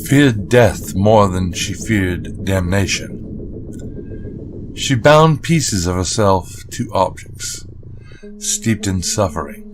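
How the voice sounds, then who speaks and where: A man playing an ancient, dangerous supernatural gentleman in a gothic audio drama, speaking gravely and deliberately over plucked string music. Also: low voice, expressive, poor audio. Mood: grave warning. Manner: grave, measured, deliberate delivery. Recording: music behind the voice.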